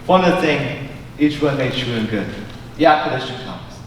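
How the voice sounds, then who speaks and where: A young man plays an earnest, formal courtier in Shakespearean style. His grand declamation is highly animated. It carries from a distance through a very echoey auditorium with faint noise. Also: normal speed, natural voice. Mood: solemn, urgent. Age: young adult. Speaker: student stage actor. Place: large indoor school auditorium, on stage.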